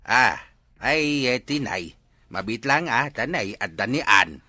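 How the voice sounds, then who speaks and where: A man playing a nosy uncle of the neighbourhood, inquisitive and animated, in a comic radio drama. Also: medium pitch, takes it slowly, no echo, studio quality, exaggerated voice. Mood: businesslike.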